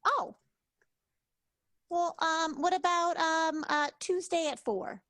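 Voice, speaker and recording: Lady doing a polite scheming vaudeville gal, high voice, dry close sound, radio comedy. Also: slow pace, a bit bright, dry recording, heavily acted. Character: polite, calculating vain female 1920s performer. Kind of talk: improvised radio comedy acting.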